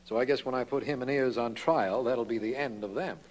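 Male actor as plain-spoken American D.A., detective's loyal friend. Medium pitch, earnest questioning, vintage radio drama style. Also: fairly quick, close to an everyday voice, rough recording. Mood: curious, satisfied. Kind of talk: late 1940s American radio detective acting.

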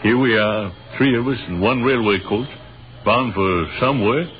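An actor playing a polite, modest American army captain in a 1930s radio drama, with an American accent and a slightly hesitant, unassuming way of talking. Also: male voice, medium pitch, normal speed, lightly acted. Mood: reflective, friendly. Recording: dull, noisy 1930s radio recording.

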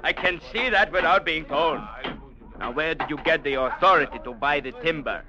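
An actor playing a domineering, sarcastic colonial trading captain in an old radio drama. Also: male voice, a little high, normal speed, lightly acted. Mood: bullying, irritated. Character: sneering, bullying colonial trading agent captain, a man. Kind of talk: 1940s-50s radio drama acting. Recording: muffled, hissy old radio broadcast.

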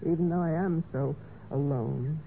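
An actress playing a trusting, lonely young heiress in a vintage radio mystery, speaking with earnest, genteel gentleness. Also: female voice, low-pitched, measured pace, gravelly, phone-quality sound. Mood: earnest, tender. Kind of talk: 1940s-50s radio mystery acting. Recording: noisy band-limited old radio recording.

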